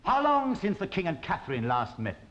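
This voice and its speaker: Casting: exasperated conspirator lord, high animated voice, commanding and instructive, period comedy. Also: male voice, fairly quick, heavily acted. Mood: scheming. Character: scheming, exasperated, bossy lord training a double. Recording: dull recording with some noise.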